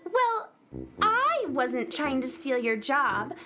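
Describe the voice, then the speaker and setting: A woman's voice: high-pitched, very animated, takes it slowly, over music, heavily acted. A woman playing a spirited, indignant girl adventurer in a children's radio play, with an earnest, emphatic manner. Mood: earnest, defensive. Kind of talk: children's radio theater acting.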